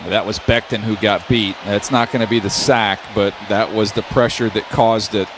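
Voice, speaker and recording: A man's voice: fairly low pitch, fairly even intonation, moderate pace, background noise. An American man giving live football analysis as a color commentator.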